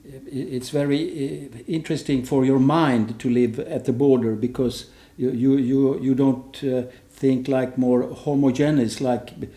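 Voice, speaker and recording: A man's voice: mid-range voice, little pitch movement, a little slow, hardly any pauses, warm tone. A man speaking on a conference panel, hesitant and searching for words, restarting often. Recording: roomy conference room.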